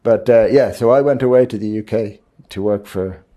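A man in his fifties from Ireland with an Irish accent, a bank managing director answering interview questions in a measured way, often restarting his phrases. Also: lowish voice, dark tone. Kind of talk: interview conversation.

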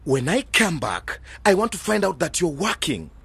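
An actor playing a stern, reproachful older uncle from a village in a radio drama, scolding and insistent. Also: male voice, on the high side, very animated, normal speed, vocal fry, fairly bright tone, husky, full character voice.

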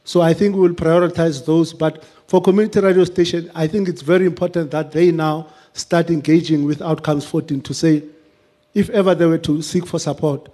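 A South African man speaks in measured, official panel style. His voice sits fairly high yet has a very mellow, dark tone. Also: steady tone. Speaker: government representative on a panel.

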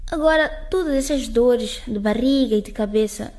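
A woman's voice: a little high, moderate pace, a little mellow, natural voice. A woman acting in a radio drama as a shy, unsure adolescent schoolgirl, hesitant and polite.

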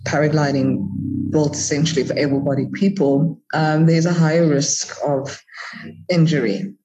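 A South African woman speaks as a guest in a casual interview. Her voice sits at a low pitch for a woman, and she talks in a halting, circling way, rephrasing as she explains. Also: fairly even intonation, mellow, lo-fi sound.

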